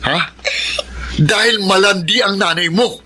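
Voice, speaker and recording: Radio drama son: Filipino, jealous, defiant, sharp high voice, accusatory delivery. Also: male voice, a little slow, a little hiss or hum, exaggerated voice. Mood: menacing. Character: bitter, jealous, rebellious son hating his half-sister.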